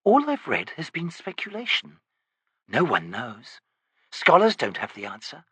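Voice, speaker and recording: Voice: medium pitch, wildly swooping pitch, measured pace, a bit bright, slightly rough, no room sound, pitched above the performer's own voice, full character voice. A narrator voicing a wary, impatient male wizard questioning a witch. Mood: probing.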